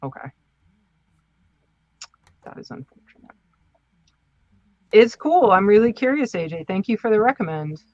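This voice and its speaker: A woman's voice: mid-range voice, animated, a little slow, pauses often, a little mellow. Casual woman podcaster, slightly dull sound. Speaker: podcast co-host.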